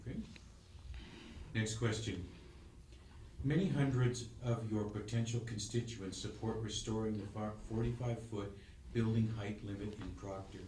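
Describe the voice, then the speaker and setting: A man acting as interviewer, reading prepared questions in a brisk, businesslike way. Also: lowish voice, fairly even intonation, warm tone. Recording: roomy, distant mic with faint noise.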